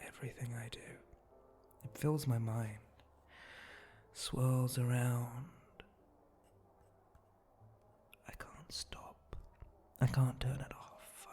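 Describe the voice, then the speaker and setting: A sly, flirty spider-monster bartender acted out by a man murmurs in a mellow, creaky voice for an intimate ASMR roleplay. He takes his time, very slow and teasing, and often slips into a breathy whisper. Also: medium pitch, slight background noise. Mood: intimate, playful.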